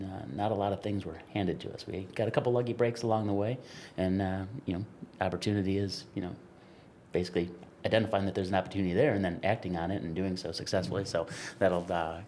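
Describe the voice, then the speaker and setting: An American man with a lowish voice talks casually as a podcast co-host. His phrasing is informal and halting, and a faint ambient noise sits behind him. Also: a little mellow. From United States, grew up in Wisconsin. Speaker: podcast co-host and golf business co-founder. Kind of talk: casual chat, telling own story.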